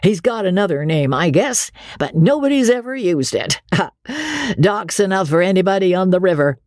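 Woman voicing a boastful, cheerful riverboat showboat captain; lively and bragging, storybook reading. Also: medium pitch, normal speed, no echo, studio quality, voice pushed up, close to an everyday voice. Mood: hearty. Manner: hearty, boastful introductions. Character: jovial middle-aged male riverboat showboat captain.

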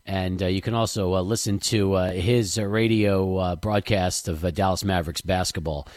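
A man's voice: deep voice, steady tone, normal speed, darkish tone, dry recording, studio quality. A man hosting a sports radio show, asking a guest chatty, leading questions.

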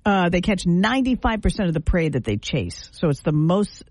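A woman's voice: lowish voice, normal speed, no echo. A woman in her fifties co-hosting a morning radio show, chatting casually and laughing often as she tells stories. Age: 50s. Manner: giggly, animated storyteller.

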